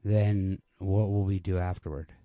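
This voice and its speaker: A man's voice: low-pitched, steady tone, a little slow, dry recording, narrow, tinny audio. A man voicing a restless, wry, needling young woman in a storybook read-aloud, pointed and questioning. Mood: subdued, questioning.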